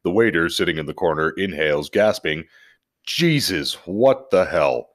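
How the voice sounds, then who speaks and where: Male podcast host, deep register, casual and joking, comfortable with profanity. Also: lively intonation, moderate pace. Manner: profane, jokey. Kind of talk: casual podcast chat and animated story reading.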